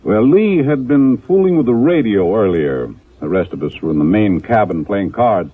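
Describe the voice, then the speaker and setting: A phone-like old radio recording carries a wealthy, evasive husband in a 1950s drama. His husky voice moves up and down in lively fashion as he haltingly explains himself. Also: on the low side. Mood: evasive, explaining. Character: evasive wealthy yacht-owning husband, smooth host.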